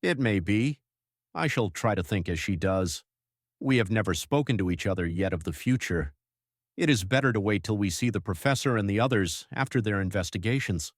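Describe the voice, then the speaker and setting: A man playing a troubled, devoted young husband reading his journal in measured literary diction for an audiobook. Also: fairly low pitch, expressive, no room sound, clean studio sound. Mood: hopeful, subdued. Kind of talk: dramatised audiobook narration.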